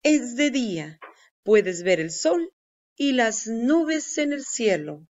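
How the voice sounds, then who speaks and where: A woman reading a children's nonfiction book aloud with deliberate, clear phrasing for young learners. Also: a little high, big pitch swings, darkish tone. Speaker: read-aloud narrator. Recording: dull, lo-fi sound.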